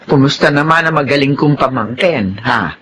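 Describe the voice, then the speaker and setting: Animated, scolding Filipina auntie. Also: female voice, extremely low pitch, moderate pace, slight background noise. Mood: exasperated. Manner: scolding, expressive. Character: sarcastic, exasperated older aunt. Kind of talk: Filipino radio drama acting.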